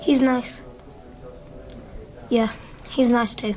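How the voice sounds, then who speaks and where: An actress playing a blunt, defiant but loyal young child in a naturalistic radio drama. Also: female voice, a little high, little pitch movement, extremely slow, mellow, soft background music. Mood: guarded.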